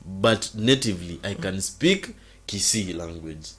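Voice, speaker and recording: A man's voice: deep voice, expressive, measured pace, a bit bright, slightly rough. A man with a Kenyan accent in a casual debate, pressing his point and repeating himself. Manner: insistent, repeats himself, mixes English and Swahili slang.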